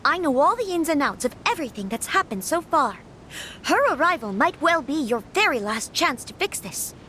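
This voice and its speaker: An actress playing a composed young noblewoman who speaks calmly, firmly and articulately, in a studio game. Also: sits high, normal speed, slight background noise, exaggerated voice. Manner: calm, firm, articulate. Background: music.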